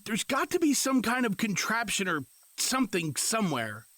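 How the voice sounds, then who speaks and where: An exasperated, sardonic husband in an audio fantasy drama, acted by a man. His pitch swings around a lot as he blusters, and the recording is close and dry. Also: sing-song, normal speed, pushed and bright, no echo, heavily acted. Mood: frustrated. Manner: sarcastic, blustering.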